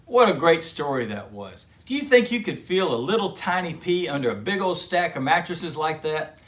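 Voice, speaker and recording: A man reading a fairy tale aloud to children in a gentle, friendly storybook manner, then chatting warmly to his young listeners. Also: a little high, big pitch swings, moderate pace. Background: guitar music.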